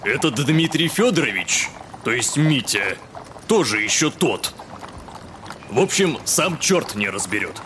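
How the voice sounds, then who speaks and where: An actor playing a pompous, fussy educated gentleman in an audio drama. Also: mid-range voice, sing-song, unhurried, noisy background. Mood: disdainful. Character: haughty, irritable educated liberal gentleman landowner.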